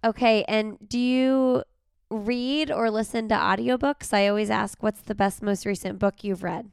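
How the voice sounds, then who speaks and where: A woman hosting a podcast, raspy from a cold, chatting casually and laughing often. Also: mid-range voice, steady tone, measured pace, no echo, clean studio sound. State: recovering from a cold. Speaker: podcast host. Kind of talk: casual interview chat.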